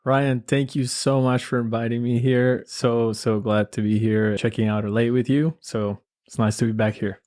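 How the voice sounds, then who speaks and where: Relaxed Colombian man, smooth resonant voice. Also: mid-range voice. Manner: easygoing, thoughtful, pauses and restarts often. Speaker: guest musician. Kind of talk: casual interview chat.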